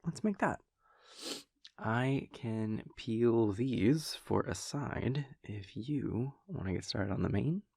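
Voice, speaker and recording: A man playing an easygoing, affectionate vampire boyfriend in an intimate audio roleplay, rambling and hesitant in a husky, gravelly voice. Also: medium pitch, animated, slow, no room sound, studio quality, full character voice. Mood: relaxed, agreeable. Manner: rambling, hesitant, soft chuckles between thoughts. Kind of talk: intimate audio roleplay, naturalistic monologue to a partner.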